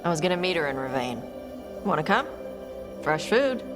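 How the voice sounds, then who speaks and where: Actor doing young game warrior, plain spoken and casual, mid voice, music loud under it. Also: medium pitch, sing-song, moderate pace, close to an everyday voice. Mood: frank, casual. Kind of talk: video game cutscene acting.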